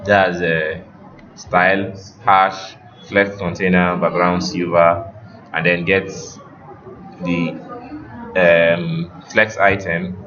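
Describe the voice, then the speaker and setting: Male tech instructor, very low unhurried voice, walking learners through steps; muffled recording with clear background noise. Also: level tone, a bit bright. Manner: instructional, directing students, checking on them. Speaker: web development instructor. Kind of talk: step-by-step live coding lesson.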